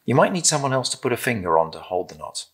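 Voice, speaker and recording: A middle-aged British man gently and encouragingly demonstrating a craft step by step in simple instructions. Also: mid-range voice, sing-song, fast, husky. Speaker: craft presenter. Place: indoor room with timber-framed walls, at a table.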